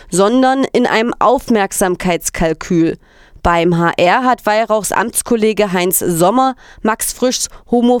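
Female news reader, smooth clean voice of middle pitch, dry studio-like sound, formal scripted copy. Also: no echo. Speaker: reader of quoted articles and statements.

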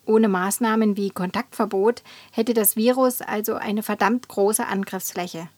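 Matter-of-fact female explainer, acted by a woman, mid-pitched, close dry sound, scripted informative delivery. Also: fairly even intonation, unhurried, no room sound, natural voice. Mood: sober, informative. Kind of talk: scripted explainer in an audio collage.

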